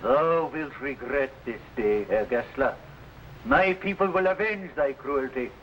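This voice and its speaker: An actor playing a proud, defiant captive rebel archer, declaiming in archaic phrases in an old adventure serial. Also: male voice, mid-range voice, measured pace, fairly bright tone, natural voice. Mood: outraged. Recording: noisy old broadcast recording.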